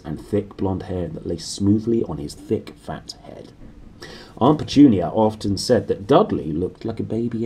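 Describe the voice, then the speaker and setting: A British man reads a storybook briskly and expressively. His voice is lowish and relaxed, with very lively swings in pitch. There is faint noise from the room behind him. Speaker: reader. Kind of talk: brisk storybook reading to camera. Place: small decorated room indoors.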